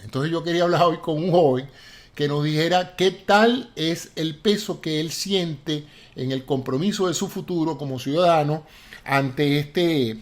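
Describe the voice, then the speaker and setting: A man hosting an interview, speaking formally and deliberately, halting at times as he introduces and questions. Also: fairly high pitch. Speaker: interview show host. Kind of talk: interview with long spoken introduction.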